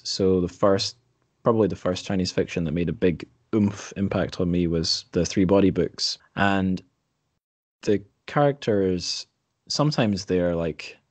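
Guy hosting a chatty podcast, deep voice, really dry sound. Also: darkish tone, no room sound. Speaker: podcast host. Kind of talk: casual interview chat.

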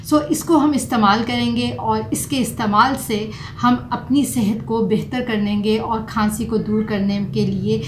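A middle-aged woman, a doctor explaining home remedies to camera and mixing in English terms. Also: on the high side. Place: small furnished room indoors. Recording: roomy echo, faint background noise.

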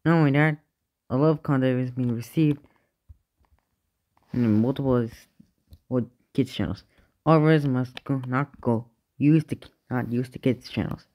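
Male amateur alert announcer, medium pitch, formal bulletin wording with a stumbling, self-correcting delivery. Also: normal speed, pauses often, warm tone. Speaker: narrator of fictional emergency alerts. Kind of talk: improvised mock emergency broadcast announcement.

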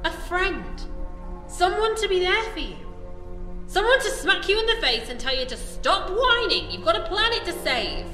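A woman's voice: very high-pitched, big pitch swings, normal speed, pushed and bright, exaggerated voice. A woman playing a plucky, blunt young companion to a hero in an audio drama. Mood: blunt, supportive. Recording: echoey room, faint music.

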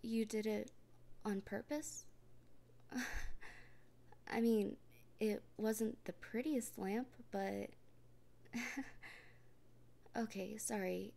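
Woman, whispered comfort roleplay, gentle and reassuring, slow, dark warm tone. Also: medium pitch, steady tone, creaky. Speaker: roleplay performer speaking as a partner.

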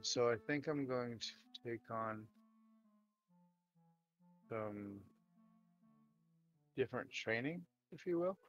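A man playing a weary, devout, folksy dwarf warrior in an improvised audio drama, rambling and plain-spoken in a halting way. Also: mid-range voice, animated. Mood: earnest, resolved. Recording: dull lo-fi audio, music behind.

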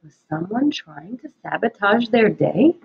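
A woman reading a children's story aloud in an expressive, animated way. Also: medium pitch, unhurried, gravelly, slightly rough, highs cut off. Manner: animated, acts out characters' dialogue. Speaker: read-aloud narrator.

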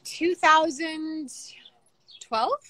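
A woman's voice: high voice, very slow, sharp tone, dry recording, low-quality recording. A woman in her thirties, a native Russian speaker, chatting wryly as a guest over a phone video call. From former Soviet Union. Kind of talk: casual video-call chat.

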